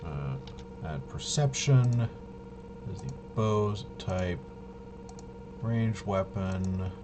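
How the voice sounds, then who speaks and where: Slow, low-pitched man thinking aloud. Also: animated, noisy background. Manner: muttering to himself, halting and unscripted. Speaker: host of a tabletop roleplaying video. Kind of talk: casual think-aloud game walkthrough.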